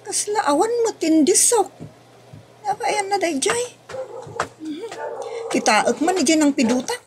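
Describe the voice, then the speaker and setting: A woman's voice: very high-pitched, lively intonation, unhurried, noisy background. A woman acting the part of a forgetful, busy housewife in a homemade comedy skit, exasperated and muttering to herself.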